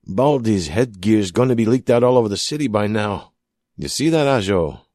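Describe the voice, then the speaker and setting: A man's voice: on the low side, lively intonation, normal speed, darkish tone, no echo, studio quality, pitched above the performer's own voice. A man voicing a jeering, brash male scrapper in a narrated science fiction story. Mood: mocking.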